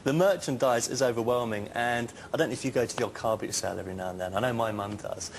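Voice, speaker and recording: British male TV shopping host, mid-pitched. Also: fast talker, gravelly, a little hiss or hum. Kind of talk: television presenting and interviewing. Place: television shopping studio.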